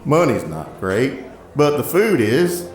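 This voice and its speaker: A man playing a gruff, proud old diner owner in an audio drama, grumbling and wry. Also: medium pitch, very animated, takes it slowly, echoey room, soft background music. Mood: wry persuasion. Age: older.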